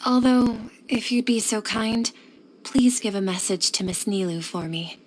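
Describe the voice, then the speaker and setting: Woman acting a composed, courteous scholar, mid-pitched, ambient noise. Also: close to an everyday voice, poor audio. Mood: sincere. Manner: polite, measured, formal. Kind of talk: anime game voice acting.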